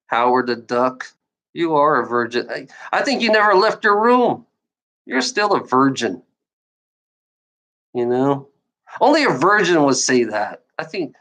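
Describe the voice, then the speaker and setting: A woman's voice: low-pitched, lively intonation, a little slow, a bit bright. A woman streamer who stutters, ranting at her chat in a rambling, repetitive way with frequent restarts and swearing. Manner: repetitive, restarts phrases, profane. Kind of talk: rambling livestream rant at chat trolls.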